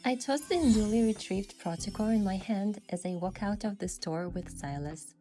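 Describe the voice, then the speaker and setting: A woman playing a gentle, thoughtful young heroine, narrating her game story softly and in measured scripted first person. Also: medium pitch, soft background music, lightly acted.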